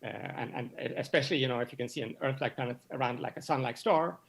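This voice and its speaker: A man explaining science as an interview guest, halting and often repeating words as he goes. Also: medium pitch, gravelly, a bit bright. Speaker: astronomy professor, interview guest.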